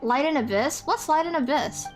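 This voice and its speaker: A young non-binary streamer with a fairly high voice, talking loosely to their chat. They trip over restarts, break into laughter and swear casually. Soft music plays faintly underneath. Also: moderate pace. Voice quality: higher-pitched voice.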